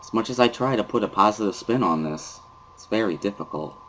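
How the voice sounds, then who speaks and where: A man's voice: on the low side, animated, brisk, fairly bright tone, a little hiss or hum, poor audio. A man giving a somber, weary first-person dramatic reading of a visual novel as narrator.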